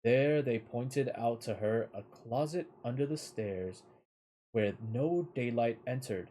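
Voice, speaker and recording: A man reads a storybook tale aloud at an unhurried pace, an amateur narrator who can be a little halting. His pitch is mid-range for a man, and there is faint background noise. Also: mellow, poor audio. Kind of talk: reading a fairy tale aloud.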